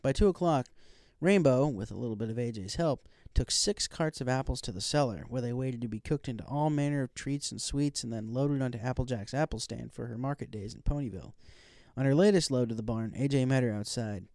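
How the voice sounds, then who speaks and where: A man's voice: mid-range voice, fairly quick, a little mellow, no echo, studio quality. A man reading a scripted story aloud as an audiobook narrator.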